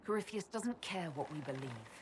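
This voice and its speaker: A woman's voice: low-pitched, big pitch swings, normal speed, background noise. A woman playing a determined young elf hero in a video game, speaking bluntly and with urgency. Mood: urgent, frustrated. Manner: blunt, urgent, forceful wording. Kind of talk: dramatic video game dialogue.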